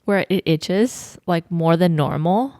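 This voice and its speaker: A woman's voice: lowish voice, slow pace, a little mellow, no echo, clean studio sound. A woman co-hosting a podcast in casual chat, with an easygoing, chatty manner and frequent laughs. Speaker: podcast co-host.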